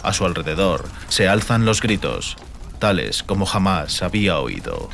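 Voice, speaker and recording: A man reads a fantasy audiobook as narrator, with a solemn, measured and theatrical delivery. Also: deep voice, very animated, vocal fry, fairly bright tone, a little hiss or hum. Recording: music bed under the voice. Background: music.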